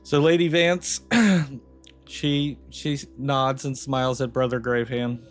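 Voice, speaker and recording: A man narrating as game master in a tabletop game, relaxed and casual, often pausing to restart. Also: medium pitch, soft background music.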